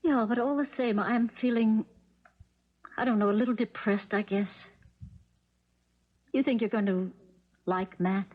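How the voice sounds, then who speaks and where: An American woman playing an uneasy, solicitous stepmother in a 1970s radio drama, speaking gently and hesitantly. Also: on the high side, measured pace, long pauses. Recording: muffled 1970s radio recording.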